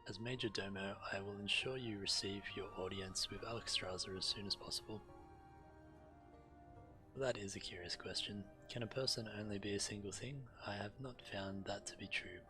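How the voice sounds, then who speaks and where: A male game streamer with a deep voice reads fantasy quest text aloud in character. His delivery is measured, like storytelling, with clear music playing behind him. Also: fairly even intonation.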